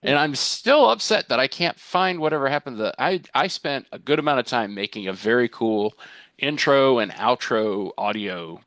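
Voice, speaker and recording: Wry, teasing male for casual call chat, mid pitch, dry sound. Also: animated, normal speed, a bit bright, dry recording.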